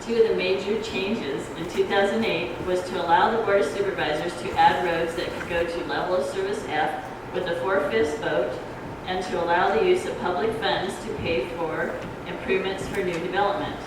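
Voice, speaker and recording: A soft-voiced woman giving an informal explanatory talk at a public forum, speaking in a halting, hesitant way. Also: lowish voice, steady tone. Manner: halting, hesitant, loses her thread. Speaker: ballot measure proponent, debate speaker. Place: community grange hall. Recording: echoey hall, distant noisy microphone.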